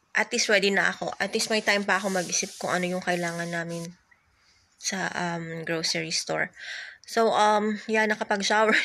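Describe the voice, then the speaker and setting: A woman in her thirties with a Filipino accent, chatting casually to her phone camera at home, rambling with fillers and soft laughs. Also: medium pitch. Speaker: vlogger. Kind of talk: casual chat to camera, mixing Tagalog and English. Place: home, indoors. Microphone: phone held close, front camera.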